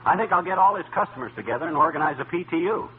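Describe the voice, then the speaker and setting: An actor playing a folksy, grumbling American husband with a blustery, wisecracking way, in old radio comedy style. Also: male voice, on the high side, animated, rapid-fire, close to an everyday voice. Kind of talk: 1940s-1950s radio comedy acting. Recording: tinny, noisy vintage radio recording.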